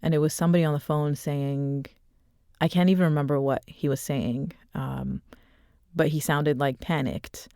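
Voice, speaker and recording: Woman in her thirties, interview guest, gentle and halting, dry close sound. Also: low-pitched, fairly even intonation, vocal fry, no echo. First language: not Arabic. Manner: soft, reflective, halting with many fillers. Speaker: author and podcast guest. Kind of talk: personal story in an interview.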